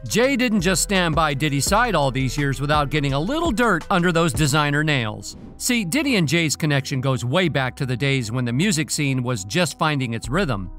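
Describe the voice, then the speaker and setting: A man's voice: on the high side, a bit bright. A man delivering scripted gossip commentary in a sassy, dramatic, conversational manner. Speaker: gossip channel narrator.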